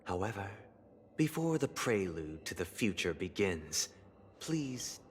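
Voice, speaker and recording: A man's voice: low-pitched, sing-song, slow, slight background noise, full character voice. A man playing a composed, grandiose villain in a video game, speaking in formal, theatrical oratory. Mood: solemn conviction. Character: grandiose, composed zealot villain, man preaching an ordered paradise. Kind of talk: video game cutscene acting. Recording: orchestral music behind the voice.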